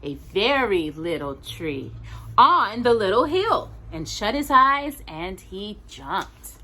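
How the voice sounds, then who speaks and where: A woman's voice: mid-range voice, sing-song, slow, bright, forceful tone. A woman hosting storytime, narrating a children's story expressively with playful asides to a young listener. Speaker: storytime host reading to children.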